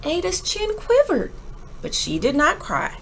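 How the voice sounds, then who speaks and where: A woman reading a children's picture book aloud in an expressive, animated way with dramatic emphasis. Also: extremely high pitch, unhurried, gravelly, a little hiss or hum.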